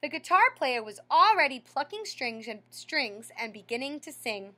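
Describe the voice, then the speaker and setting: A woman's voice: sits high, sing-song, moderate pace, sharp tone. A woman reading a picture book aloud to children like a teacher, explaining and prompting young listeners with questions. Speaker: read-aloud storyteller and teacher.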